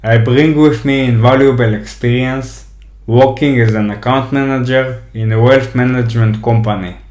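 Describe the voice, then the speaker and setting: A man in his thirties from Israel with an Israeli accent, reading a scripted introduction to his course in a steady, measured way. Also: medium pitch, roomy sound. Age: 30s. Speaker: course instructor.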